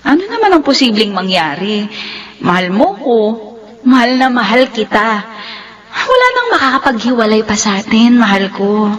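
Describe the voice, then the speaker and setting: An actress playing a tender, devoted young woman in love, in a drawn-out, emotional radio drama style. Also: a little high, very animated, fairly bright tone. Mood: loving. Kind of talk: radio drama acting in Filipino love story.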